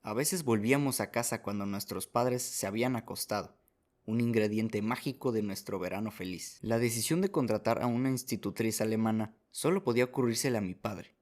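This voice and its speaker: A man's voice: on the low side, brisk, a little mellow. A man reading scripted first-person story narration as the narrator of a radio drama.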